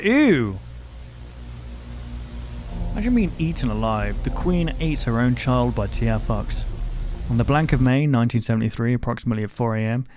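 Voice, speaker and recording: A man giving blunt, informal running commentary as a reaction video host, sometimes reading text aloud. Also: medium pitch.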